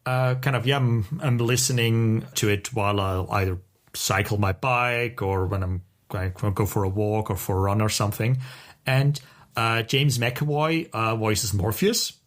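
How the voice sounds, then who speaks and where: A man's voice: lowish voice, measured pace. A man talking casually and enthusiastically as co-host of a podcast.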